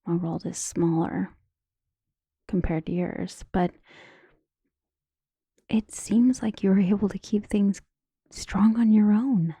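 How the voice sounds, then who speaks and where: A devoted maid voiced by a woman speaks in a hushed, soft and mellow voice with a creaky edge. She is earnest and deferential, confiding like a conspirator in an audio drama. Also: medium pitch, slow, long pauses, no echo, clean studio sound. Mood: earnest, supportive. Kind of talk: dramatic audio roleplay acting.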